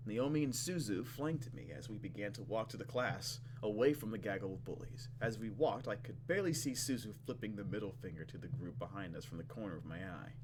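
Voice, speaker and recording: Animated, low-voiced man doing a let's play. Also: noisy background. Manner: playful, expressive, acts out reactions. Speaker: gaming channel host. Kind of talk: let's play, reading story text aloud with casual commentary.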